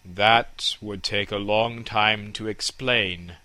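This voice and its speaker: A man as an unhurried worldly stork. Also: fairly low pitch, steady tone, bright, forceful tone. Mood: matter-of-fact.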